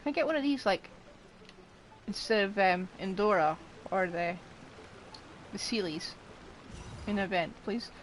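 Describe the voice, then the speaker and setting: A woman's voice: mid-range voice, unhurried, pauses often, slight background noise. A woman giving casual, playful gameplay commentary, muttering reactions to herself as she streams. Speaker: game streamer.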